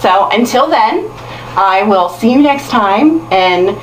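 A woman's voice: on the high side, animated, slow pace, soft background music. Roomy, chatty woman giving a hair tutorial. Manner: chatty, self-deprecating. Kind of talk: casual how-to instruction to viewers. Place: small tiled bathroom, indoors. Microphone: camera mic at a short distance. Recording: roomy, distant camera mic with hiss.

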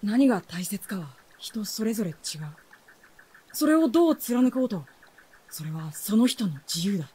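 A woman playing a resolute, cool-headed teenage boy with a husky voice in a radio drama. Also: medium pitch, expressive, normal speed, mellow. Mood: firm. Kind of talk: Japanese radio drama acting.

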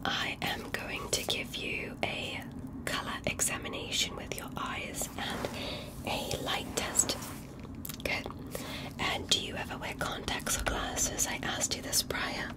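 Whispering alien doctor played by a woman, gentle and careful. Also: lightly acted, low-quality recording. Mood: curious, polite. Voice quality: breathy, unvoiced whisper. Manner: gentle, careful, reassuring, formal phrasing. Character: polite, curious female alien doctor examining a human patient. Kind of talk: ASMR medical roleplay.

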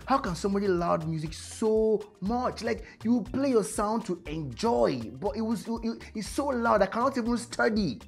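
A woman with a Nigerian accent playing a student in a shared flat who talks expressively and mixes Pidgin English with Yoruba. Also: a bass voice, brisk, faint music behind. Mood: exasperated. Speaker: student sharing a Lagos flat.

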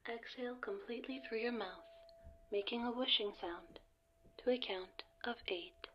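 A woman playing a serene wellness announcer guiding relaxation, in a calm, measured, breathy half-whisper. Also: a little high, highs cut off, a little hiss or hum. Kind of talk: ASMR guided meditation narration, announcer-like.

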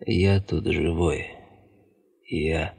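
An actor playing a sick but stubborn, dutiful young geologist in a radio drama. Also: male voice, low voice, steady tone, drawn-out words, creaky, darkish tone, husky, exaggerated voice. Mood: weak, delirious. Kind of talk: Soviet radio drama acting. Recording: reverberant 1970s radio play studio recording.

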